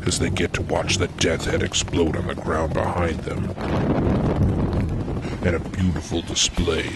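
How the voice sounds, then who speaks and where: A man narrating a scripted comedic adventure story in a deadpan manner. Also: low voice, unhurried, gravelly, heavy background noise.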